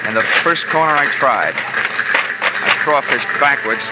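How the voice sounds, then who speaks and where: An actor playing a wry, cynical insurance investigator in a 1940s radio detective drama, with clipped delivery. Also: male voice, medium pitch, takes it slowly, very noisy, close to an everyday voice. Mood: grim, sardonic. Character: wry hard-boiled male insurance investigator, cynical and tough. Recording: muffled old radio recording, music behind.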